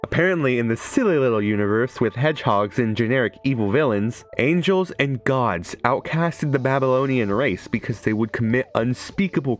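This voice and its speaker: A man with a medium-pitched voice makes casual gaming commentary. He is sarcastic, jokey and freely profane. Music plays clearly behind him. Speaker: gaming video creator. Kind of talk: casual comedic game commentary. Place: indoors, streaming setup.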